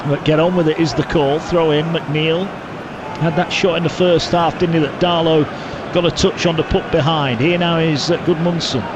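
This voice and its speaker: A man calling live football on radio, brisk play-by-play that rises with the action. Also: fairly high pitch, steady tone, highs cut off. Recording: broadcast with loud stadium noise behind.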